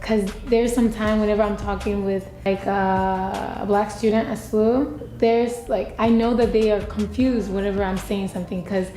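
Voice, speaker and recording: A woman's voice: mid-range voice, warm tone, echoey room. A young woman from Japan with a Japanese accent, answering interview questions frankly with lots of "like" fillers. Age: young adult. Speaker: student interviewee.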